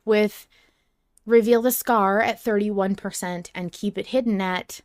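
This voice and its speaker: A woman's voice: medium pitch, steady tone, unhurried, darkish tone, no echo, studio quality. A woman co-hosting a fan podcast in a casual, informal discussion style, swearing freely. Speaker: podcast co-host.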